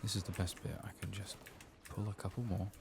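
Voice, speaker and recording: A man speaking softly and often whispering in ASMR style as he comments on a game he plays, close to the microphone. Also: low-pitched, little pitch movement, gravelly, noisy background. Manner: hushed, reads quest text aloud slowly. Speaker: ASMR gaming streamer narrating a playthrough.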